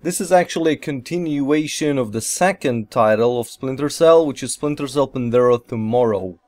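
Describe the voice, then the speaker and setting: A man's voice: mid-range voice, normal speed, a little mellow. Man rambling through casual game commentary. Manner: rambling, repeats filler phrases like "whatnot" and "et cetera". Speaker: host of a gaming Let's Play series.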